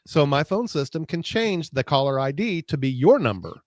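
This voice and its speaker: A man's voice: on the high side, lively intonation, normal speed, no room sound, clean studio sound. An American man in a casual tech chat as a co-host, informal and wryly grumbling, with folksy wording. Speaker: podcast co-host.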